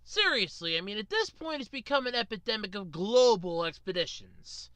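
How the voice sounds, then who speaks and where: A man's voice: sits high, sing-song, moderate pace, bright, forceful tone, dry recording. A man delivering an informal opinion rant to viewers as a video host, in an exasperated, emphatic, sweary way. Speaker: online ranter, video host.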